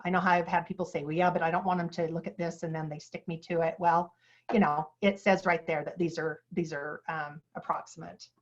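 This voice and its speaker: A lowish female voice, relaxed and chatty, walks a class through listing paperwork with plenty of fillers and asides. Her throat is dry and a little rough. The recording sounds dull and lo-fi. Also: brisk. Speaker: real estate instructor.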